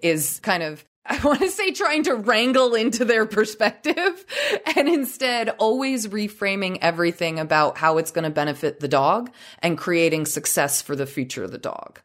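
A woman's voice: mid-range voice, expressive, normal speed, fairly bright tone. A woman co-hosting an etiquette advice podcast, chatty, laughing often and rephrasing herself as she talks. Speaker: podcast co-host.

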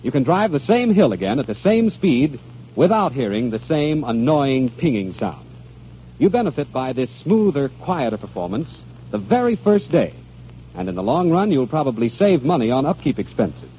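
A man delivering an advertising pitch as a 1940s radio announcer. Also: sing-song, moderate pace. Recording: muffled, noisy old radio recording.

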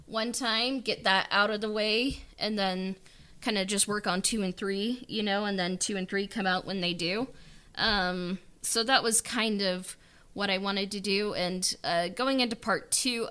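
A woman's voice: mid-range voice, pushed and bright. A woman in a casual, unscripted chat about her creative work, rambling and peppering her talk with "like" and "you know". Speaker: podcast guest and audio drama writer-director.

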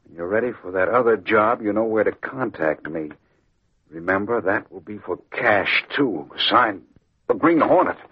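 A thin, tinny vintage radio recording carries a scheming male racketeer. His voice sits low and husky. He talks in a guarded, hard-boiled way, like a crook in an old radio crime serial. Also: expressive, moderate pace. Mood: cool, deliberate. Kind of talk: old-time radio drama acting.

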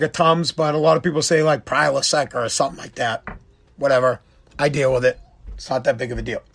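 A middle-aged man with a hoarse, worn-out voice, rambling bluntly as he hosts his podcast. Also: on the high side, fairly bright tone. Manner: rambling, blunt, self-deprecating. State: hoarse, lost voice. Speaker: podcast host. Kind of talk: casual solo podcast talk.

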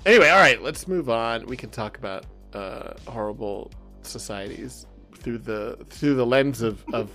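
A man with a Californian American accent chatting casually as a podcast co-host, halting and often correcting himself as he goes. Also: medium pitch, animated, bright, forceful tone, faint music behind. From Southern California, USA.

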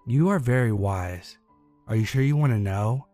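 A man voicing a thin, sinister male Christmas monster with a hissing, snake-like voice, louder than his narration. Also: mid-range voice, lively intonation, moderate pace, faint music behind, lightly acted. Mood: menacing.

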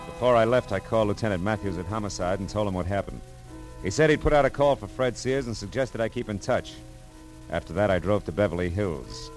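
Man playing a low-voiced hard-boiled radio detective. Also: lively intonation, fairly quick, natural voice. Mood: wry narration. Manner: clipped, wry, tough-talking. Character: hard-boiled wisecracking male private detective narrating his case. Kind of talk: 1940s radio detective acting and narration. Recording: old radio recording with loud music.

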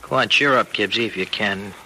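An actor playing an awkward, kindly young husband in an old-time radio serial, apologetic and halting in a folksy way. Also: male voice, on the low side, close to an everyday voice. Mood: sheepish. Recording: noisy vintage radio drama recording.

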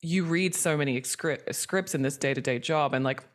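A woman's voice: low-pitched, normal speed. A woman from Hong Kong and Australia speaking candidly and casually as an interview guest, with a chatty, informal way of talking. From Australian who grew up in Hong Kong. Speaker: podcast guest, entertainment executive and writer.

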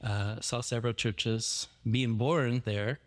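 A man's voice: mid-range voice, fairly even intonation, slow pace. A man who plants churches as a missionary, telling his story in a podcast interview with many fillers and restarts.

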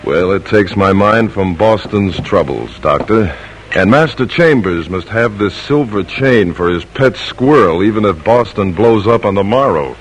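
An actor playing a loyal, modest colonial silversmith in an old radio drama, speaking in a plain, unhurried, matter-of-fact way. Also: male voice, on the low side, lively intonation, slight background noise, poor audio. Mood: hearty.

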